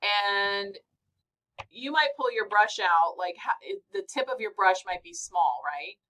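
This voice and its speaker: A woman instructor teaching a live online software class from her office over a video call, chatty and encouraging, with a loud voice. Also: mid-range voice, lively intonation, a little slow, low-quality recording. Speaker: college instructor. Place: home office. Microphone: computer mic on a video call.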